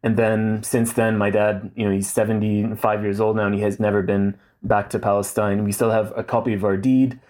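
A man's voice: lowish voice, level tone, a little fast, hardly any pauses, darkish tone. A man in his thirties, a guest in a casual interview, speaking thoughtfully with many fillers and restarts. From Saudi Arabia.

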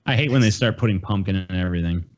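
A man's voice: sits low, racing, highs cut off, background noise. A man co-hosting a podcast with crude, profane, joking banter in casual talk. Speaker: podcast co-host.

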